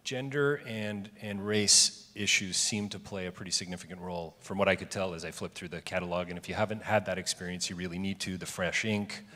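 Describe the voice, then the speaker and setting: A man's voice: low-pitched, fairly even intonation, fairly quick, few pauses, bright, forceful tone. A man moderating a fireside chat, chatty and joking, stumbling over the start of his questions. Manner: chatty, joking, with stammered restarts. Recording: reverberant hall.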